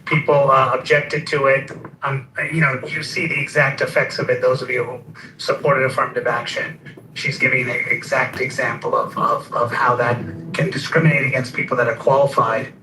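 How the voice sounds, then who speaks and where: Man, forceful bright voice, halting off-the-cuff debater, heard off-mic in an echoey room with faint noise. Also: mid-range voice, little pitch movement, recorded from a distance. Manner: halting, repeats words. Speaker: discussion participant.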